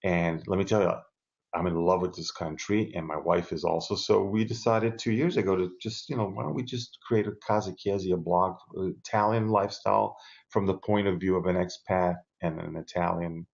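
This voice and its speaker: A man's voice: deep voice, a little fast, gravelly, a little mellow, dull audio. A middle-aged man from South America, chatting as a guest on a video-call interview, enthusiastic and rambling with restarts, from a home room with bookshelves. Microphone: earbud headset on a video call.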